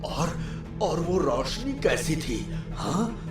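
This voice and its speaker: A man voicing a cruel, gloating sorcerer's shadow in a theatrical, drawn-out way for an animated story, with music behind him. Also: fairly high pitch, recorded from a distance, exaggerated voice. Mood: shocked, weakened. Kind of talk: Hindi animated story acting.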